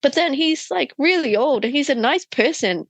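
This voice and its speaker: A woman's voice: sits high, moderate pace, no room sound. A young adult woman from Myanmar with an Australian accent, telling stories as a guest in a casual interview. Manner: animated storytelling, laughing often.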